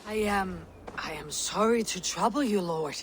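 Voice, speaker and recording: A woman playing a calm Viking warrior leader in a video game, with a low husky voice and a measured, stately manner. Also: slight background noise, lightly acted. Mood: earnest. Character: calm, steady Viking warrior woman leading her clan. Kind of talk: dramatic video game acting.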